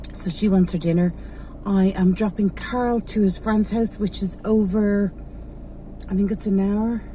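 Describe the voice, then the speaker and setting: Irish woman, 40s, rambling car-vlog chatter, mellow tone, faint background hiss, slightly blocked nose. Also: mid-range voice, rough recording. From Ireland. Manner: chatty, rambling, loses her thread. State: slightly stuffed up with a sore throat. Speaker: vlogger. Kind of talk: casual vlog chat while driving. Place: inside a car in traffic.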